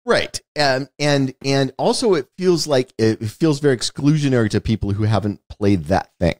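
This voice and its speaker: A man with a very animated, swinging delivery, recorded close and dry. He talks like a podcast host analysing a movie in conversation, explaining each point emphatically and often tagging on "right?". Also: mid-range voice, normal speed, dry recording.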